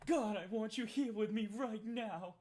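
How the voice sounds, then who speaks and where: A woman informally reading a chat log out loud, play-acting the lines with mock sweetness. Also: fairly high pitch, unhurried. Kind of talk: informal read-aloud of a chat log with asides.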